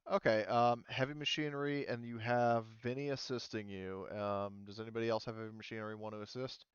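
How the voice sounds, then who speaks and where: A man running an online tabletop roleplaying game as game master, explaining rules casually in a halting way, thinking aloud. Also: medium pitch, fairly even intonation, smooth, no echo, muffled recording.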